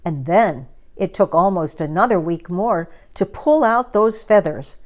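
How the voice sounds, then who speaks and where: A woman storyteller reading a children's story aloud in an expressive, dramatic and deliberate manner. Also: lowish voice.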